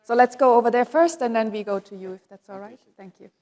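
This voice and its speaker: A woman's voice: fairly high pitch, fast, darkish tone. A woman moderating a press conference, calling on people briefly and politely.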